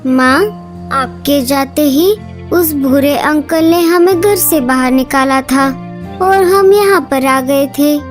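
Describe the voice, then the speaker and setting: Woman playing tiny innocent chick, childlike, high-pitched, earnest, unhurried, cartoon story with music. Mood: plaintive. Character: small innocent chick calling its mother. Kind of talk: Hindi animal cartoon story.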